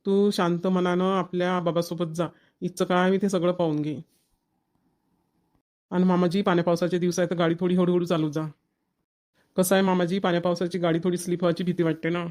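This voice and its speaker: A man voices a young newlywed husband from a cartoon comedy, clingy and earnest. He speaks Marathi with a Varhadi accent, rattling along quickly with little melodic movement, reassuring again and again. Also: high-pitched, level tone, a little mellow, natural voice. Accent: Varhadi Marathi, Vidarbha. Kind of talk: cartoon family comedy dialogue.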